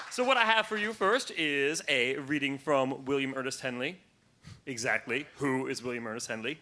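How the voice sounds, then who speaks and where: A young man performing poetry live to an audience, with an emphatic, theatrical delivery and dramatic pauses. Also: a little high, bright, forceful tone. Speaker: slam poet performing on stage. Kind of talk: live poetry reading and spoken word to an audience.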